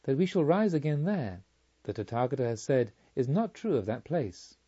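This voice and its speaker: A serene, detached enlightened woman of a storybook, read by a man in a mellow, relaxed voice. Each phrase is measured and solemn, and the recording is slightly muffled. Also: medium pitch, breathy edge. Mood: solemn calm.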